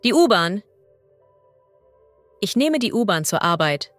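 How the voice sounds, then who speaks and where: A woman as a language teacher reading idioms and vocabulary slowly and clearly. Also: mid-range voice, big pitch swings, a bit bright, dry recording, faint music behind. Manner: slow, clear and deliberate.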